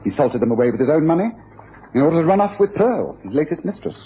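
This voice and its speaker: Brisk, self-assured male detective, period radio drama, lively intonation, dull recording. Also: fairly high pitch. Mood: explanatory, satisfied. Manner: decisive, declarative, explanatory. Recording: dull old radio recording, faint hiss.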